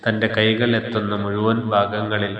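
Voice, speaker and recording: Male Islamic preacher, low even voice, measured teaching delivery, long cavernous reverb. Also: dull audio, a crowd in the background. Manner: measured, instructive, pausing phrase by phrase. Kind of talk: religious sermon with Arabic recitation and explanation. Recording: heavy echo and long reverb.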